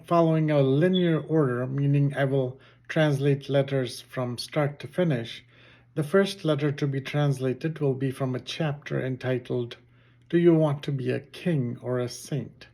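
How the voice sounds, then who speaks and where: A man whose first language is Urdu, hosting his channel and giving a measured, formal reading of a religious text. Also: mid-range voice, vocal fry, dark tone. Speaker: channel host.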